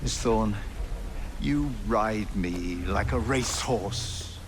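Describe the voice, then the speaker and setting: An actor playing a testy upper-class Victorian English gentleman scientist in a game cutscene, haughty and commanding. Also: fairly low pitch, slow, noisy background. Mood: indignant. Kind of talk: dramatic video game cutscene acting.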